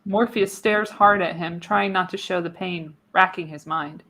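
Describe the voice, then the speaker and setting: A woman's voice: on the low side, fairly even intonation, moderate pace, bright, forceful tone. A woman narrating screenplay stage directions for a live radio play, reading expressively with the occasional stumble. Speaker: narrator, show host.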